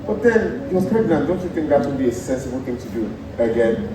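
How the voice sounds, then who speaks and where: A young man acting an excitable, mocking, exclamatory character in a stage play. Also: medium pitch, a little fast, noisy background, lightly acted. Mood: teasing. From Nigeria. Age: young adult. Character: excitable, indignant, gloating man named Ovid. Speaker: student stage actor. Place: theatre stage. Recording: very echoey stage, far mic, music.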